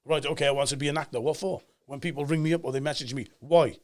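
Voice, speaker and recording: Fast-talking Cardiff man in his forties. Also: fairly high pitch. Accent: Cardiff Welsh. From Cardiff, Wales. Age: 40s. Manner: animated storyteller, fast and emphatic, acts out quoted voices. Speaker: actor and podcast guest. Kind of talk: casual podcast chat.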